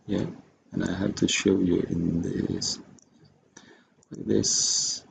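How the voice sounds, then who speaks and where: A man with an Indonesian accent giving an unscripted software tutorial in a halting, hesitant manner. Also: low-pitched, little pitch movement, vocal fry, noisy background. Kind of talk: unscripted screen-recorded tutorial.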